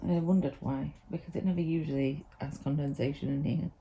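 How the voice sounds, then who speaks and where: A woman vlogging from a cold sunroom at home first thing in the morning, chatting in a rambling way with plenty of ums, her voice still croaky from sleep. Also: low-pitched, fairly even intonation, fairly quick, mellow, lo-fi sound, a little hiss or hum. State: croaky, just woken up. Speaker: vlogger, mother. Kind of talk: casual morning vlog chat to camera.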